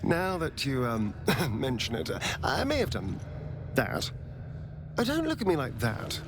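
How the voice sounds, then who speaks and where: A man's voice: mid-range voice, sing-song, measured pace, background noise. An actor playing a conflicted, flippant male vampire spawn, theatrical and hesitant, in a dramatic video game style. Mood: sheepish, flippant. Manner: theatrical, hesitant, breaking into nervous laughs.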